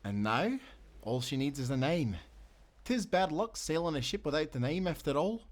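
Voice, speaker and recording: A man's voice: fairly high pitch, sing-song, moderate pace. A man playing a hearty, loyal sailor in an audio adventure, with an earnest, folksy nautical way of talking. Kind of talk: audio roleplay acting.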